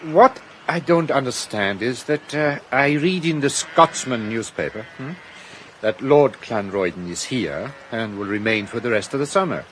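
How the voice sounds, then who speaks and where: An actor playing a weary foreign guest who speaks hesitantly, in a radio drama. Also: male voice, mid-range voice, expressive, a bit bright, slight background noise, lightly acted. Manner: slow, hesitant.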